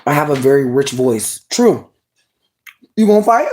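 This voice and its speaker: A person livestreaming casual chat with viewers, sassy and expressive with lots of swearing, talking while snacking. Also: medium pitch, moderate pace.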